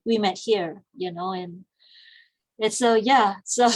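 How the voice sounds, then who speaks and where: A woman's voice: mid-range voice, slow pace, dry recording. A woman in her forties from Malaysia, a guest talking casually in an interview, chatty and laughing easily. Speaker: guest, business founder.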